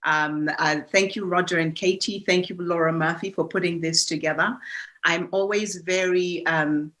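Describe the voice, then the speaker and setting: A woman's voice: lowish voice, fairly even intonation, normal speed. A woman from Kenya, giving a reflective personal talk as a guest speaker, often restarting her sentences. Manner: warm, reflective, with frequent restarts and fillers.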